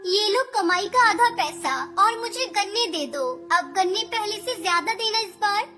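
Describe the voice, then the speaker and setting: A woman's voice: very high voice, a little slow, full character voice. A woman voicing a small, earnest, kindly female bird with a childlike manner in a Hindi cartoon fable. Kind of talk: Hindi cartoon moral story dialogue. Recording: loud children's music under voice. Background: children's music.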